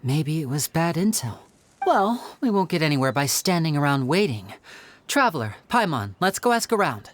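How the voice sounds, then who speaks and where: Game lead: composed young magician, polite, lower-toned with lively inflection. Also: female voice, moderate pace, slight background noise. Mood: composed, purposeful. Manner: courteous, articulate. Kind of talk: video game voice acting.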